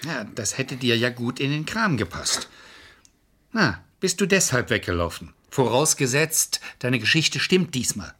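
A man's voice: mid-range voice, very animated, unhurried, bright, forceful tone, slightly rough, full character voice. An actor playing a stern, sardonic male defence lawyer who interrogates with scorn in a vintage German crime radio play. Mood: sarcastic, accusing.

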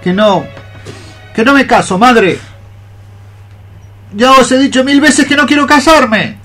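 An actor playing a lovelorn, dreamy young cockroach poet, declaiming lyrical verse in a radio play. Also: male voice, very high voice, takes it slowly, sharp tone, soft background music, heavily acted. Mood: defiant. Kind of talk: radio theatre verse acting.

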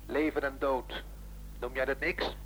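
A man's voice: mid-range voice, fairly even intonation, measured pace, fairly bright tone, poor audio. An actor playing a vindictive, taunting male caller who accuses insistently in a radio play. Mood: accusing, menacing. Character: menacing, vindictive male caller haunting a guilty man.

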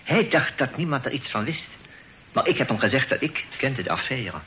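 An actor playing a sly, polite, teasing portrait painter and landlord with a French accent and halting phrasing sprinkled with French, in a Dutch radio play. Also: male voice, medium pitch, very animated, pushed and bright. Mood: knowing, confidential. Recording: noisy old radio play recording.

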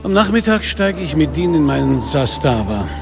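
A man's voice: fairly high pitch, big pitch swings, normal speed, creaky, over music. A man acting a weary, hard-boiled police inspector in a radio crime drama, narrating his case tersely and dryly. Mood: grim, matter-of-fact. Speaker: lead actor and narrator.

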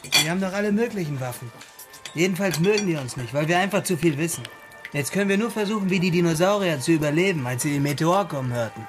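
Radio drama: wry barroom buddy male actor, raised pitch, fatalistic, over music. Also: lively intonation, fast talker, natural voice. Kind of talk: German radio drama acting.

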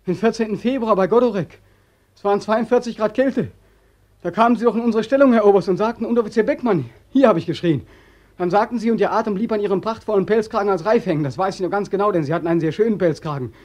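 An actor playing a tormented, guilt-haunted young soldier back from war, with a husky voice and a fervent, insistent way of speaking in a German radio play. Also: male voice, sits high, quick pace, a little mellow. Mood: bitter, urgent. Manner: fervent, insistent, repetitive monologue.

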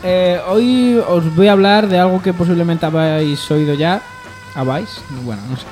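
Male comedy radio regular, casual banter, slightly high voice, faint music bed. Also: normal speed, a little mellow. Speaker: radio show regular presenting joke segment.

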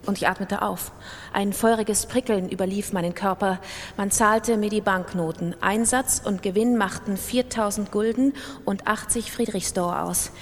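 A woman's voice: steady tone, normal speed. A woman with a standard German accent reading literary prose as a dramatic radio narration. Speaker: literary reader in a radio production. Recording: long reverb, faint rumbling noise.

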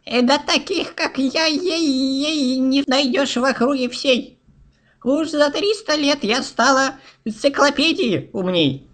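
Woman playing ancient man-eating witch, high voice, slow taunting rhymes, boastful, fairy-tale audio play. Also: fairly bright tone. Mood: gloating.

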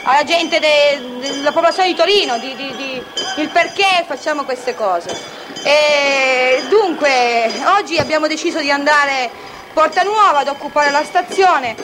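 A woman from southern Italy giving spontaneous testimony as a striking worker, halting and repeating words. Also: high voice, noisy background. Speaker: striking car factory worker. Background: clear music.

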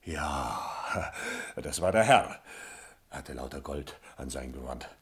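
An actor playing a simple, boastful, good-natured peasant in a comic radio play, telling his tale in a halting, exclaiming, rambling way. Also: very deep voice, lively intonation, slightly rough, heavily acted. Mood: excited, boastful. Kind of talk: German radio play acting of classic comedy.

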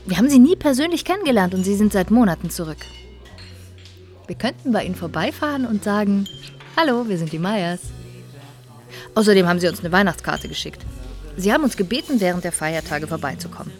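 An actress playing an eager, persuasive wife in a radio drama, speaking in a conversational, coaxing manner. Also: female voice, mid-range voice, lively intonation, brisk, faint music behind, lightly acted.

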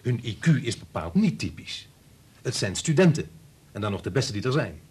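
An actor in a Dutch radio play playing a domineering scientist, insistent, coolly persuasive and condescending. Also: male voice, medium pitch, very animated, moderate pace, a little mellow, slightly rough.

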